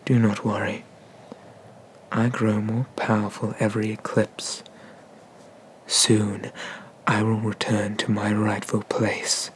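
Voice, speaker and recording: Guy doing haughty old dragon god asmr, low n slow, formal, kinda flat, drops into whispers. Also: a little hiss or hum. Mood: bitter, vowing. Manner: slow, deliberate, formal speech with murmured, breathy whispers. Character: ancient, haughty male Eastern dragon god, condescending but merciful. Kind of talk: ASMR roleplay, scripted.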